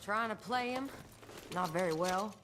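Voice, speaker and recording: A woman's voice: medium pitch, normal speed, a bit bright, a little hiss or hum, close to an everyday voice. An actress playing a tough, proud young outlaw woman in a western game, blunt and offhand, with an American accent. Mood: shaken, defiant. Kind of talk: western video game acting.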